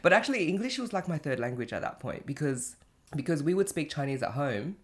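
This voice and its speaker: A guest from Cambodia, telling stories in relaxed casual chat with laughter and restarts. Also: mid-range voice, a little fast.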